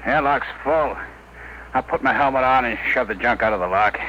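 A man's voice: mid-range voice, normal speed, close to an everyday voice. An actor playing a sarcastic, grumbling spaceship crewman in an old radio drama. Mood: brisk. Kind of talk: 1950s radio drama acting. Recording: dull, noisy vintage radio recording.

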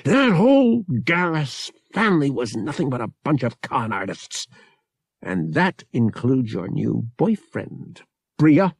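A man's voice: mid-range voice, sing-song, slow pace, creaky, a little mellow, husky, dry recording, studio quality, heavily acted. A man voicing an indignant, suspicious young brother who argues in an emphatic, exasperated way. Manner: emphatic, argumentative.

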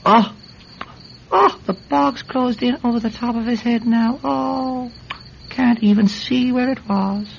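A man's voice: mid-range voice, sing-song, unhurried, a bit bright. An actor playing a talkative, wily Irish villager who stammers deferentially as he pleads his innocence in a radio drama. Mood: mock regretful. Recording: muffled, slightly noisy old-style radio recording.

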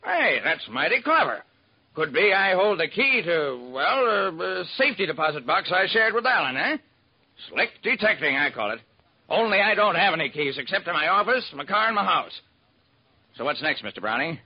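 An actor playing a brash, slangy, self-made music publisher in an old-time radio drama. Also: male voice, sits high, very animated, moderate pace, slightly rough, heavily acted. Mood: brisk, amused. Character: brisk, self-made male music publisher, colloquial and eager. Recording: muffled vintage radio recording.

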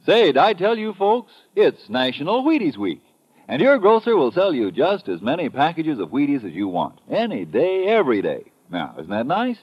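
A man announcing a sponsor pitch on 1950s radio in a folksy, chatty selling manner. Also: no room sound. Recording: dry, muffled vintage radio recording.

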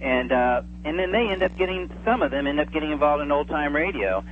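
A man's voice: on the high side, lively intonation, a little fast. A man speaking as an enthusiastic interview guest, chatting casually and at length. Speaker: interview guest, old-time radio enthusiast. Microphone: telephone. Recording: tinny phone line, faint hum.

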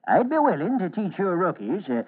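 A man's voice: sits high, huge pitch swings, fairly quick, breathy edge, exaggerated voice. Tinny old-radio folksy monkey man. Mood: teasing. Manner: folksy, chuckling, needling. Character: heavy-set eccentric monkey expert, teasing old zoo character. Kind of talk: old-time American radio acting. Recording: narrow, tinny vintage radio recording.

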